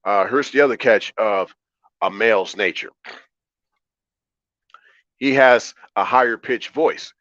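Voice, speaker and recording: Deep baritone man in a roomy, dull recording. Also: takes it slowly, long pauses. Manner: calm, relaxed, repeats phrases for emphasis. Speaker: livestream host. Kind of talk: conversational livestream monologue, answering chat. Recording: roomy, slightly dull lo-fi audio.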